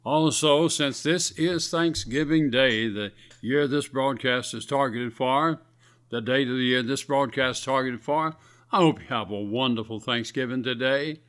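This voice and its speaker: A man's voice: medium pitch, measured pace, a bit bright, clean tone. A man with a scratchy voice, preaching earnestly on a radio sermon broadcast in a folksy, exhorting way.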